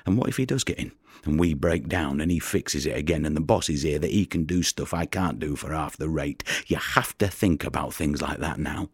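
Very deep, husky, weary fisherman. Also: brisk, no room sound, studio quality, full character voice. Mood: wary, uneasy. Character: world-weary, wary Italian fisherman, wry and guarded storyteller. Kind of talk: dramatic monologue in an audio play.

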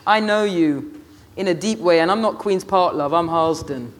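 A man's voice: high-pitched, moderate pace, close to an everyday voice. A man voicing a formidable middle-aged Rasta woman, an indignant, emphatic scolder in an audiobook story. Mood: defiant.

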